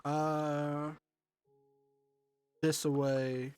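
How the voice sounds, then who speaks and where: A man's voice: fairly high pitch, level tone, drawn-out words, a little mellow, smooth, no echo, faint music behind. Congested man thinking aloud while gaming. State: congested from allergies. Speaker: livestream gamer. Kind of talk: casual gameplay commentary.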